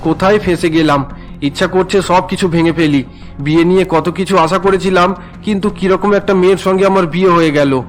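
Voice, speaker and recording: Man playing a high-pitched, resentful young groom. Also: fairly even intonation, fairly quick, faint music behind, lightly acted. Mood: bitter. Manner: whiny, indignant, protesting. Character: resentful young city-working son, unwilling groom.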